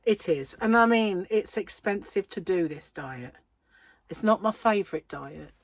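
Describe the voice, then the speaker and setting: A middle-aged British woman with a wheezy breath, chatting casually to camera as host of a crochet channel, self-deprecating and quick to laugh. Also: medium pitch, lively intonation, no echo. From United Kingdom.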